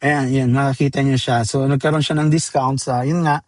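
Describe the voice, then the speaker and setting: A man with a Filipino accent explains software step by step in an informal tutorial, addressing viewers casually. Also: medium pitch, steady tone, fairly quick, dry recording, clean studio sound. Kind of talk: informal screen-recorded tutorial walkthrough.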